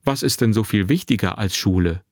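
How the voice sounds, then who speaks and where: A man's voice: lowish voice, a little fast, darkish tone, dry recording, clean studio sound. A man voicing a friendly, easygoing and slightly bemused grown man on holiday, in dialogue from a narrated story. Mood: interested.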